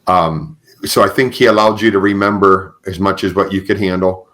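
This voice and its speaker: A man hosting a podcast, interviewing in a conversational, halting way and rephrasing as he goes. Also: low voice, fairly even intonation, a bit bright. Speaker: podcast host.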